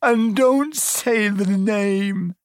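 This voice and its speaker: A man voicing a huge, bearded, soft-hearted half-giant with rustic, colloquial speech in an audiobook. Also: mid-range voice, lively intonation, slow, slightly rough, dry recording, clean studio sound, full character voice. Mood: sobbing remorse.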